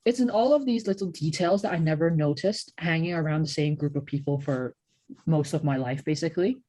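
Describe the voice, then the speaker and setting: Young woman, low voice, podcast co-host, casual conversation, reflective, frequent "like". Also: a little fast, dark tone, no room sound, low-quality recording. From Hong Kong. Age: young adult. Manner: reflective, peppered with "like". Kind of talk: casual chat.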